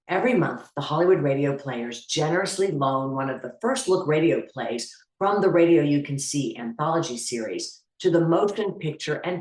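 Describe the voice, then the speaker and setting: Upbeat woman host, echoey room. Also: deep voice. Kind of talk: scripted charity introduction.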